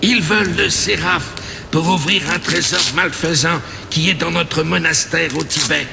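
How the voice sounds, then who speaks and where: An actor playing a grave monk in a video game cutscene, speaking in a solemn storyteller's manner. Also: male voice, a little high, fairly even intonation, measured pace, vocal fry, noisy background. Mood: solemn, foreboding. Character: grave male monk, solemn guardian of an ancient treasure. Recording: long hall reverb, music underneath. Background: music.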